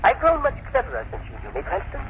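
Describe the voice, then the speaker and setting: An actor playing a vengeful, grandiose old professor in a radio drama, speaking with pompous, condescending calm. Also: male voice, high-pitched, quick pace. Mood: boastful. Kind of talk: 1940s radio drama acting. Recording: muffled old radio recording, faint noise.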